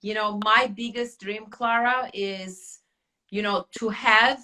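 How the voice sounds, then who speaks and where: Woman, Turkish accent, native Turkish speaker, podcast host, animated, bright voice. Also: medium pitch, slow. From Turkey. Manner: animated, laughs often. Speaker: academy owner and podcast host. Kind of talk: casual podcast chat.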